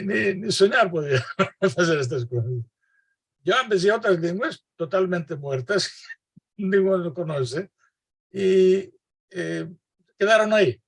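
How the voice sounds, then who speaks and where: An older man from Greece speaks slowly over a video call, his voice gravelly and a little husky. He hesitates, restarts and fills pauses, laughing now and then as he answers. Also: high voice, a bit bright. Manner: hesitant, many fillers and restarts, laughs often. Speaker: guest linguist. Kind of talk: conversational interview answers.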